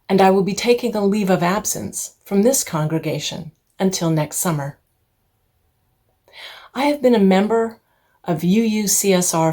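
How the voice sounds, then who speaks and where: A woman's voice: lowish voice, warm tone. A middle-aged woman, a singer and intern minister, gives a heartfelt personal address to her congregation.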